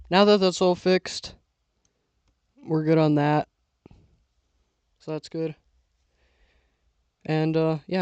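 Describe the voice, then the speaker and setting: A young man giving casual, self-talking commentary while building with LEGO, losing his voice and clearing his throat now and then. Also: fairly high pitch, no echo. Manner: relaxed, mutters to himself while building.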